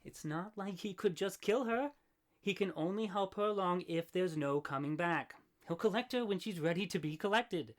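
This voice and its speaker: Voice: mid-range voice, normal speed, lightly acted. A performer playing a talkative, opinionated grim reaper in an audio drama, conversational and matter-of-fact. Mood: mediating.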